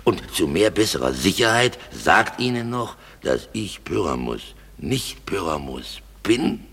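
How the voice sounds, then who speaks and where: An actor playing a self-important male weaver rehearsing a play, husky and full of hesitations. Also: low-pitched, sing-song, unhurried, fairly bright tone, exaggerated voice. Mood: eager. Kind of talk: German radio play acting.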